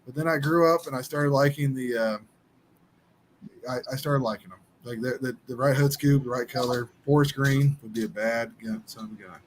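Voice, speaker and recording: A man's voice: mid-range voice, normal speed, a little hiss or hum. Rambling man in his thirties. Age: 30s. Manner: rambling, restarts a lot. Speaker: livestream host. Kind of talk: casual chat. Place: at home. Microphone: laptop.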